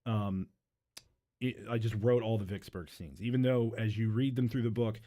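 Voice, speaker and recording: A man with a somewhat low voice talks as a guest author in a casual interview. He explains his points in an earnest, conversational way. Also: fairly even intonation, normal speed, mellow. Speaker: novelist guest. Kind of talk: casual book interview chat.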